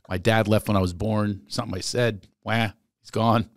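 A middle-aged man hosting a podcast in a small studio, talking in quick joking banter full of self-mocking asides into a boom microphone. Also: steady tone, no echo. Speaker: podcast host. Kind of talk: casual comedic chat. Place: small studio with foam panels. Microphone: studio mic on boom arm, headphones.

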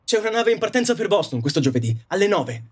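Audio drama young suitor, male actor, earnest and awkward, husky, wide-swinging pitch, halting delivery. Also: very animated, heavily acted. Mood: earnest, hopeful. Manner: stammering, halting. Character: awkward, earnest young man next door in love.

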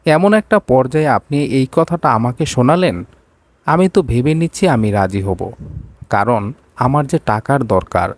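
A man's voice: medium pitch, expressive, unhurried, no echo, close to an everyday voice. Indignant, needy young female job seeker for a story acted by a man, pleading tone, dry sound. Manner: pleading, reproachful. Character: desperate, indignant young woman seeking a job.

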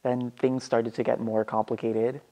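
A young man recounts his own story in an earnest, measured monologue. His voice has a gravelly fry and sits in a middle pitch. The delivery is steady and sincere. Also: rough recording. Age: young adult. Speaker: student storyteller. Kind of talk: performed personal monologue. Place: empty seating room indoors.

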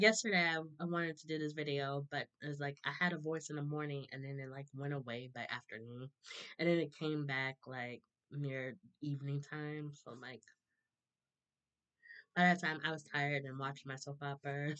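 A woman in her thirties with a hoarse, sore throat, chatting casually to a webcam, laughing often and clearing her throat. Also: deep voice, moderate pace, a bit bright, dull audio. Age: 30s. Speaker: vlogger. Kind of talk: casual chat to camera. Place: small room indoors.

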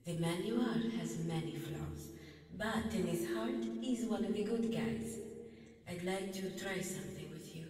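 A woman playing a knowing, frank companion skilled in magic, measured and teasing, in a radio drama. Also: mid-range voice, a little mellow, close to an everyday voice. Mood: earnest, teasing. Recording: very echoey hall, distant from mic.

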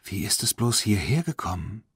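An earnest, caring young German girl who is quick to get indignant, played by a man in a children's audiobook. The intonation is very lively and the sound is dry. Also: fairly low pitch, slightly rough, no room sound, full character voice. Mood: puzzled. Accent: standard German. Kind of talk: children's audiobook character reading.

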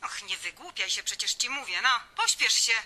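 An actress playing an insistent, exasperated office secretary on a call in an audio drama. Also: female voice, a little high, big pitch swings, fairly quick, bright, forceful tone, a little hiss or hum, heavily acted. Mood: impatient. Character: impatient, breezy female office secretary.

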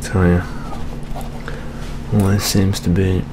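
A young British man playing a weary, jaded older police detective in a soft-spoken ASMR roleplay, muttering with gloomy gallows humour. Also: sits low, monotone, slow pace, noisy background. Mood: jaded, resigned. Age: young adult.

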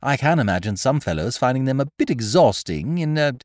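A man voicing a breezy, chummy young gentleman of leisure who frets easily. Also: mid-range voice, big pitch swings, a little fast, dry recording, studio quality, pitched above the performer's own voice, natural voice. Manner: breezy, chummy upper-class banter.

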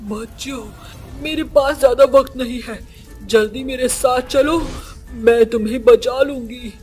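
A man's voice: very high voice, steady tone, unhurried, faint music behind. A man voicing a self-sacrificing female witch in a horror cartoon, speaking in an earnest, dramatic way. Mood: urgent, resolute. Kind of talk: Hindi horror cartoon acting.

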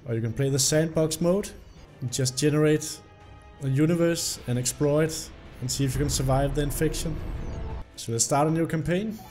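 A young man, slightly hoarse from illness, commenting on a game and reading its story text aloud. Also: a little high, moderate pace, soft background music. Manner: reads the story text aloud in an even narrating tone. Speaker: gameplay video host. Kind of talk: casual gameplay commentary.

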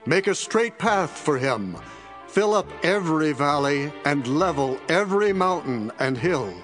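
An actor playing an ancient prophet, solemnly and emphatically declaiming in a Bible audio drama. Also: male voice, fairly high pitch, animated, unhurried, soft background music. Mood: urgent, exalted.

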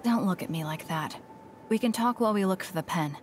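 A woman's voice: fairly low pitch, a little fast, slight background noise, close to an everyday voice. An actress playing an aloof young female soldier in an anime-style video game, curt and matter-of-fact. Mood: cool, curt.

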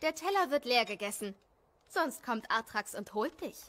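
A woman's voice: on the high side, expressive, moderate pace, a bit bright. A woman playing an irritable village wife and mother with a scolding, exasperated manner in an audio drama. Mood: irritated, scolding.

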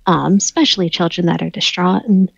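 A woman's voice: lowish voice, steady tone, fairly quick, creaky, husky, no echo, lo-fi sound. A woman playing a tabletop role-play, describing her character's actions hesitantly. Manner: hesitant, with fillers. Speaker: player.

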